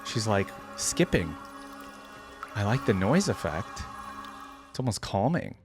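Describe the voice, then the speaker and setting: Casual man reacting over clear music. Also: fairly low pitch, sing-song, slow pace. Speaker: reaction video host. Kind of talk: casual off-the-cuff reaction commentary. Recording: music playing behind the voice.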